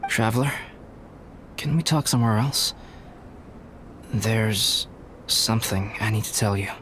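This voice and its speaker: A man playing a calm, reserved young alchemist in a video game, speaking in a measured, formal and precise way. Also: on the low side, slight background noise. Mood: composed, formal.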